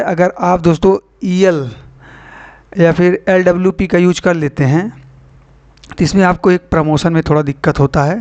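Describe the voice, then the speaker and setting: A man's voice: a little high, a little mellow, clear, resonant tone, lo-fi sound. A man hosting an informal explainer for students, addressing viewers as friends and repeating key points. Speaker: video channel host. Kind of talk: informal explainer for exam aspirants.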